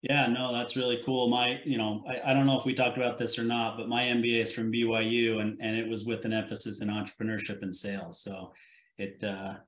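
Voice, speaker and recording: A man's voice: medium pitch, flat delivery, a little fast, fairly bright tone. A man in his forties hosting a business podcast, talking in an easygoing way with frequent restarts. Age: 40s. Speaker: podcast host. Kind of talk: casual interview conversation. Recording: echoey room sound.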